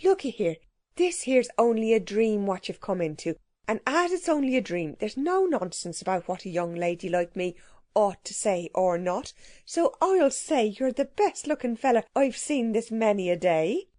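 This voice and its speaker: A woman's voice: mid-range voice, very animated, normal speed, mellow, no room sound, studio quality, natural voice. A woman voicing a bold, chatty working-class cook who has become an island queen, talking in common dialect.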